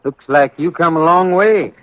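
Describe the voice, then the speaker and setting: A man's voice: on the high side, normal speed, close to an everyday voice. An actor playing a folksy, chatty American small-town livery man with a rustic, casual manner in a radio western. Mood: friendly. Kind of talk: 1950s radio western acting. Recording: dull vintage radio recording.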